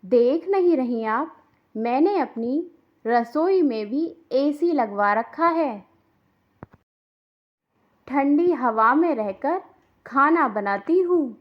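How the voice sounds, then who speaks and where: A woman voicing a boastful, scornful rich daughter-in-law in a cartoon moral story, quick to take offence. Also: high-pitched, lively intonation, muffled recording. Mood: haughty, scornful.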